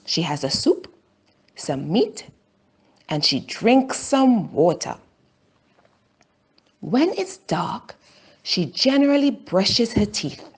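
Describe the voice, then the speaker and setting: Slow, expressive woman reading a children's story. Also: lowish voice, breathy edge. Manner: deliberate, simple phrasing with pauses. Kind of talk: slow scripted story narration for language learners.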